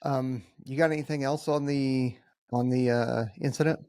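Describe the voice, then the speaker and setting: A man's voice: mid-range voice, steady tone, normal speed, mellow, dry recording, clean studio sound. An American man hosting a casual podcast conversation in an informal, conversational way. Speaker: podcast host.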